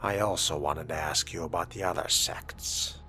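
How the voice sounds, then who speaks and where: Male role-player for a young vampire: extremely low, crisp bright edge, measured scripted questioning. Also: steady tone, a little hiss or hum. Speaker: player reading a fledgling vampire's lines. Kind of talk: scripted role-play dialogue.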